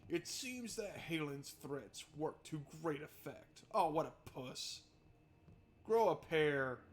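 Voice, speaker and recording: A man's voice: a little high, lively intonation, slow pace. A man hosting a visual novel playthrough, reading narration aloud and adding casual, wry asides. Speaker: gaming video host.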